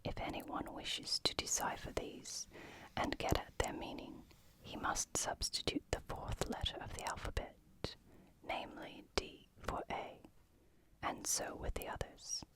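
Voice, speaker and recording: An ASMR host whispering as they read aloud and explain in a scholarly, deliberate manner. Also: rough recording.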